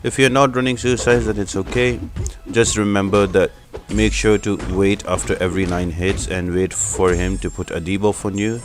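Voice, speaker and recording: A man hosting a gaming channel, giving explanatory step-by-step commentary for a video game guide. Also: fairly low pitch. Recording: music behind the voice. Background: music.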